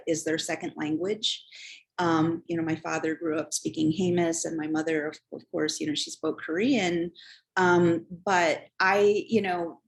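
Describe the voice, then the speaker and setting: Low-pitched woman on a panel, lots of ums and you knows, telling her family story. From Chicago, United States. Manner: halting, many "um" and "you know".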